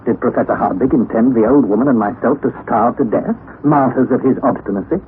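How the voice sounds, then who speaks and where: A young actor playing a wry, anxious nephew who narrates the story, in a 1960s radio drama on a tinny, lo-fi broadcast recording. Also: male voice, medium pitch, brisk, a little hiss or hum, natural voice. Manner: earnest storytelling, rising to excited outbursts.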